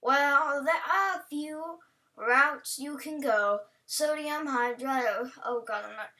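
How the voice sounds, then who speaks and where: Bright-voiced, high-pitched woman, rambling reaction host. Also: slow pace. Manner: rambling, playful, talks to the on-screen character. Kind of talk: casual reaction commentary. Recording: voice a bit far from mic.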